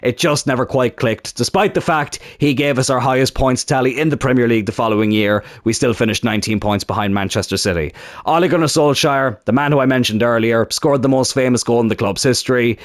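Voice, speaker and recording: A man's voice: mid-range voice, brisk, dry recording, clean studio sound. A young man talking enthusiastically and casually to his channel's viewers about a football video game. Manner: enthusiastic and chatty. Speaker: gaming YouTuber.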